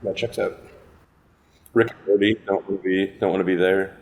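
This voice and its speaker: A man's voice: low voice, little pitch movement, normal speed, darkish tone. A man on a podcast panel, chatting casually with a dry, quippy delivery.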